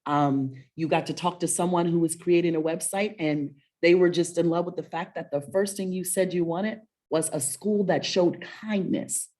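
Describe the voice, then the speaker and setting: A woman co-hosting a children's story webinar, chatting casually in a warm, encouraging, motivational way and often checking in with questions. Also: on the low side, fairly even intonation, normal speed, few pauses.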